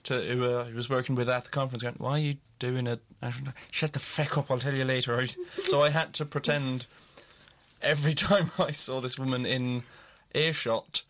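A man's voice: medium pitch, steady tone, brisk, a bit bright. A man co-hosting a podcast, chatting casually and telling a jokey story. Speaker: podcast co-host. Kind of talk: casual chat.

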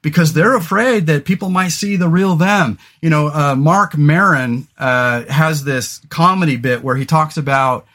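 A man's voice: a little high, unhurried, few pauses, fairly bright tone. A man in his forties hosting a podcast, talking casually and rambling with lots of fillers, restarts and casual swearing. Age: 40s. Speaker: podcast host.

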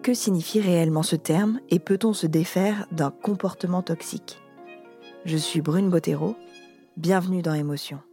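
A woman hosting a podcast, reading a reflective scripted introduction in a measured way. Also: fairly low pitch, steady tone, over music. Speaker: podcast host. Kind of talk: scripted narration.

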